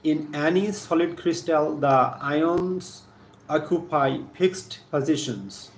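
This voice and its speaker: A man with a Bangladeshi accent giving a live chemistry lesson, partly reading aloud in a halting, deliberate manner, repeating phrases. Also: a little high. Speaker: science teacher hosting a live stream. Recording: echoey room with faint background noise.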